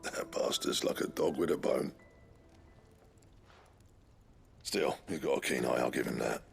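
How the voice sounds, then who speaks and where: An actor playing a gruff, blunt master blacksmith in a video game, grumbling in a rough, husky British voice. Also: male voice, rumbling low, a little fast, faint music behind, exaggerated voice. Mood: grudging. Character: gruff, grudging, foul-mouthed master blacksmith.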